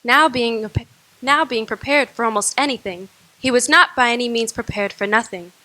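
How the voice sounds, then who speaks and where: Woman, radio play narrator, bright forceful tone, measured storytelling. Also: a little high, rough recording.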